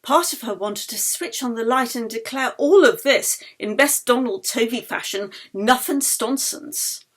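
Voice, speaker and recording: A woman author reading her own novel aloud in a measured, expressive way. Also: on the high side. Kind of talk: audiobook narration.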